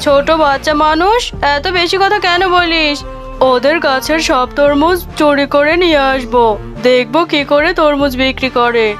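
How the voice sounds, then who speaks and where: A woman voicing a scheming, greedy mother tigress in a children's cartoon, sharp-tongued and domineering. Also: high voice, normal speed, faint music behind. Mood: scolding, spiteful. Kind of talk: animated children's cartoon dub.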